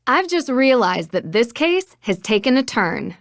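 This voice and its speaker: An actress playing an earnest, determined young amateur detective in a video game, polite and matter-of-fact. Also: female voice, fairly high pitch, big pitch swings, a little slow, dry recording, studio quality. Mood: serious, deducing.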